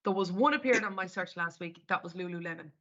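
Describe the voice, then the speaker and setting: Bright-voiced Irish woman presenting a webinar. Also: on the low side, quick pace. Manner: conversational, walks through screen step by step. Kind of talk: live market analysis presentation. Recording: dull lo-fi webinar audio.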